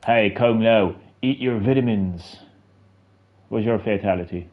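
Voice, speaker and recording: A man's voice: fairly low pitch, a little slow, narrow, tinny audio. A man, chatting casually while he plays a fighting game, rambling with lots of fillers and restarts. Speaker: gaming streamer. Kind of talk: casual gameplay commentary.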